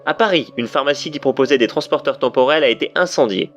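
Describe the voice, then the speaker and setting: Male news reporter played by an actor, mid-pitched. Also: quick pace, a bit bright, highs cut off, faint music behind, natural voice. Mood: factual. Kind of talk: scripted news bulletin in audio drama.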